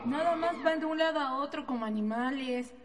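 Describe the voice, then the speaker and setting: High-voiced, coarse Mexican inmate played by a woman. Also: fairly quick, natural voice. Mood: bitter jeering. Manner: mocking, coarse. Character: jeering, foul-mouthed, bitter prison inmate. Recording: long echoing reverb.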